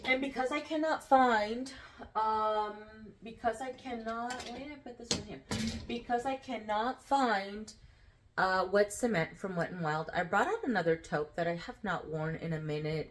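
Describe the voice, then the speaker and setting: A woman's voice: mid-range voice, normal speed, slight background noise. A woman vlogger chatting casually about her nail polishes, with a nasal, congested voice, rambling upbeat with frequent ums and little laughs. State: full after eating. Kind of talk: casual chatty product talk.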